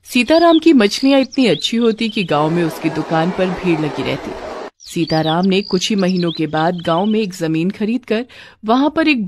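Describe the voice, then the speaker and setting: A woman's voice: on the low side, a little mellow, a little hiss or hum. A woman narrating a scripted cartoon story as a storyteller.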